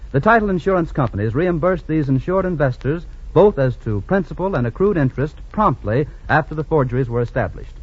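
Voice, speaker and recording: An American man reading a scripted sponsor message as a 1940s radio announcer, formal and measured. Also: fairly high pitch, breathy edge. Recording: muffled vintage radio recording.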